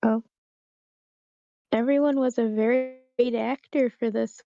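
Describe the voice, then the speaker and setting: A woman's voice: fairly high pitch, steady tone, a little slow, creaky, no echo. A woman chatting casually as a participant in a group discussion, over muffled, lo-fi audio. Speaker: workshop participant. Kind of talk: casual chat.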